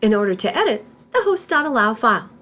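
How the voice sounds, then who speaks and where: A woman instructor reading scripted tutorial narration in a deliberate, step-by-step manner. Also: on the high side, very animated, fairly bright tone. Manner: deliberate, spells out terms symbol by symbol.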